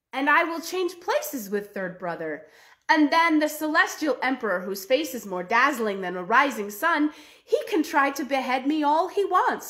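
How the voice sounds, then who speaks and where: Folk-tale brother, male, brave, calm and reassuring, high and steady, woman doing the voice. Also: heavily acted. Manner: reassuring, confident.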